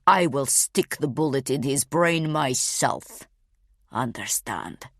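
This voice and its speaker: A woman voicing a cold, controlled male kidnapper who delivers slow, clipped threats. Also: mid-range voice, expressive, creaky, no echo, clean studio sound, exaggerated voice. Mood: menacing.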